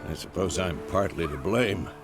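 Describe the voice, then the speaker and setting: An actor playing a gruff, stern old male witcher mentor in a game cutscene. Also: low voice, moderate pace, natural voice. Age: older. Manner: stern, grumbling, lively delivery. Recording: game cutscene with loud music.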